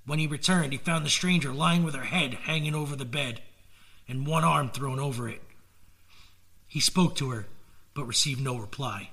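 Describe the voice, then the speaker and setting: A man narrating a gothic tale as a storyteller, measured and theatrical. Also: a little high, sharp tone. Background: musical score.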